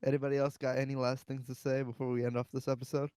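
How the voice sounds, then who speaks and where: Male podcast host, mid-pitched, slangy and profane, chatting casually in a dry recording. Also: little pitch movement, racing, dark tone, smooth, no room sound. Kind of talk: casual panel chat about games and films.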